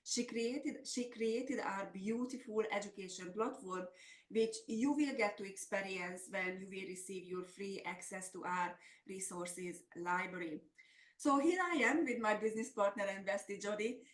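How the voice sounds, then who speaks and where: A woman's voice: medium pitch, normal speed. Hungarian-accented woman presenting in an echoey room. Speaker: webinar presenter and business coach. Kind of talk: semi-scripted webinar presentation. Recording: roomy, echoey sound.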